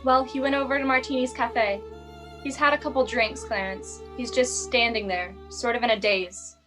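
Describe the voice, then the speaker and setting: A woman playing a calm heavenly guide who explains things in a radio play. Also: on the high side, moderate pace, over music, close to an everyday voice. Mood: calm, explanatory. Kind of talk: radio drama acting.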